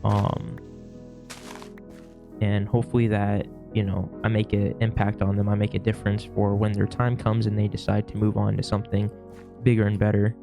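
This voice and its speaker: A sick young man with a worn, gravelly voice, rambling casually through a vlog with lots of fillers and restarts. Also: on the low side, little pitch movement, normal speed, over music. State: sick, losing his voice. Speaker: vlogger; shift manager at work. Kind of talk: casual talking-to-camera vlog.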